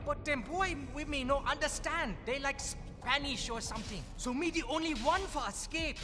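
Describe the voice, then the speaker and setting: A man's voice: extremely high pitch, moderate pace, long reverb tail. A man playing a frightened, streetwise young boy in a video game. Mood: defensive, earnest.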